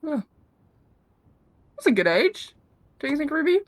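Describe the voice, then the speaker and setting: A woman's voice: high-pitched, very animated, a little slow, no room sound. Chatty woman in rp, voice bounces all over, lots of fillers, dry sound. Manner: chatty and curious, filler-heavy. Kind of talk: in-character roleplay chat.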